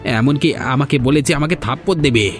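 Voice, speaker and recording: A man's voice: medium pitch, normal speed, faint music behind, lightly acted. Officious tattling village henchman voiced by a man. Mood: aggrieved. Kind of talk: Bengali animated folk-tale dialogue.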